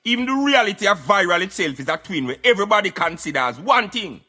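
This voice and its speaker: A man acts as an opinionated Jamaican Rasta-style commentator with a high, forceful, bright voice. He lectures emphatically in patois, repeating words as he drives points home, over a light music bed. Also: big pitch swings, fast, slightly rough, exaggerated voice. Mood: scornful. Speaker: radio show commentator. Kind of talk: satirical social commentary in patois.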